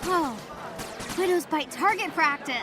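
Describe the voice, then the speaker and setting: A high-pitched teenage girl from a video game, a geeky superhero fan who gushes eagerly and talks to herself. She sounds young and nervy, with prominent music behind her. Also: unhurried. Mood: excited. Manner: gushing, self-talking, youthful.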